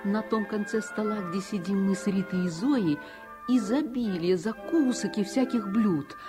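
A woman playing a sensitive, reflective narrator of her childhood, earnest and expressive, in 1950s Soviet radio style. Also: mid-range voice, a little slow, over music, close to an everyday voice. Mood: earnest, uneasy.

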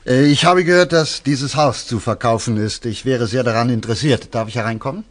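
A man's voice: medium pitch, a little fast, close to an everyday voice. A man playing a courteous, inquisitive private detective in a German radio play, talking politely with small hesitations and an amused touch. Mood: polite, probing.

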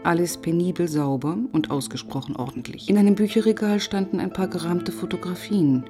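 A determined female police inspector played by an actress in a radio crime drama. Her voice sits low, and she tells and probes her case in a sober, exact way. Soft music runs behind her. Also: fairly quick, close to an everyday voice. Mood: sober narrating. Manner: sober, precise, probing. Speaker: narrator and lead detective.

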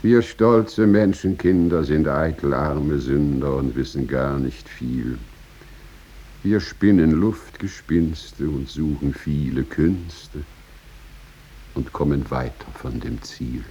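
Man, very low voice, dark and mellow, solemn verse recitation, measured phrasing, dull muffled recording. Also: husky. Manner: slow, measured, with drawn-out pauses and swelling emphasis. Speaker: reciter of poetry and fables. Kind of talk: solemn, dramatic poetry recitation.

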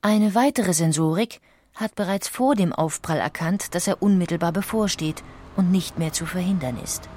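A woman reading scripted documentary narration in a measured, factual way. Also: fairly low pitch, a little mellow, a little hiss or hum. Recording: music behind the voice. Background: music.

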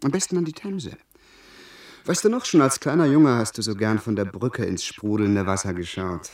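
Actor as a low-voiced, resigned gentleman. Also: moderate pace, natural voice. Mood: gently advising. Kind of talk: German radio play acting.

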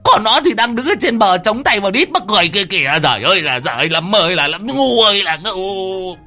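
A man voicing a panicky, cursing old male village shaman in a shrill, screeching, whiny voice. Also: very animated, a little fast, soft background music, heavily acted. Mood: scolding. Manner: frantic yelling and scolding.

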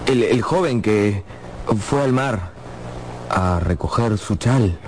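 Brief: sincere young suitor, unhurried but expressive, mid-pitched, humming noise behind. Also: male voice. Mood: shy, earnest. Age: young adult. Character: earnest, lovestruck young gentleman suitor. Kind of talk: radio drama acting. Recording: noisy, with a hum.

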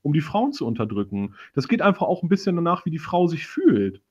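A husky-voiced man takes part in a loose satirical group talk. He sounds mock-earnest and sarcastic, and the audio is a little dull and muffled. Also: a little high, big pitch swings, brisk, darkish tone. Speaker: panelist on a live talk show. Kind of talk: casual satirical group discussion.

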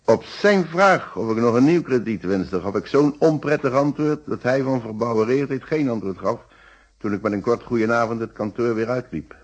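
A man's voice: medium pitch, normal speed, slightly rough, natural voice. An actor playing a hesitant, polite middle-aged married man answering questions as a witness in a Dutch radio play. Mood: polite, careful.

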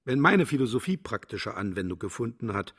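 Husky, pompous, cynical official. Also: male voice, medium pitch, sing-song, brisk, fairly bright tone, heavily acted. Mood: condescending. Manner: pompous, lecturing, emphatic. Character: cynical, condescending male defender of killing for profit. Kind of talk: theatrical German radio drama acting.

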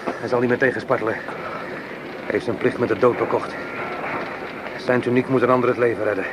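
An actor playing a protective peasant soldier in a Dutch radio play, anxious and tender, pleading in short plain phrases. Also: male voice, medium pitch, steady tone, fairly quick, close to an everyday voice. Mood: grim, protective. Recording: very noisy old radio play recording.

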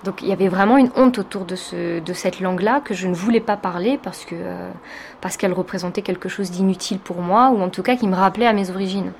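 A woman's voice: mid-range voice, fast, gravelly, slight background noise. A woman from France, a teacher, giving a reflective personal testimony in an interview, speaking thoughtfully with many hesitations.

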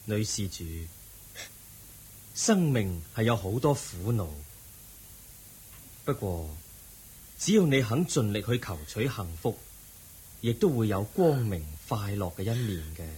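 Low, husky Buddha, Hong Kong Cantonese. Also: male voice, big pitch swings, a little mellow, heavily acted. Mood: consoling, instructive. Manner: measured, instructive, earnest. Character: the Buddha, a serene, compassionate male spiritual teacher. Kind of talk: Buddhist radio drama acting.

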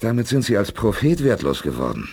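Commanding, animated space leader. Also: male voice, lowish voice, fairly quick, heavily acted. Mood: measured, resolute. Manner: crisp, authoritative orders. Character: commanding male space leader, resolute and urgent. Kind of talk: 1980s German radio play acting.

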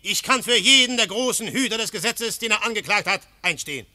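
Forceful, fervent defender of order. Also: male voice, sits high, expressive, brisk, no echo, heavily acted. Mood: defiant. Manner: fervent, rhetorical, swinging from hushed to outcry. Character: defiant, fervent male champion of law and order. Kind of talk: German radio play acting.

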